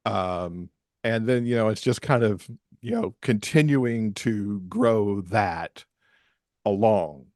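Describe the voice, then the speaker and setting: A low-pitched American man talks casually as a podcast guest. He explains things in a chatty, conversational way, and the sound is very dry, with no room on the voice. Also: takes it slowly, a little mellow, no echo. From Michigan, USA. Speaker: podcast guest, romance author.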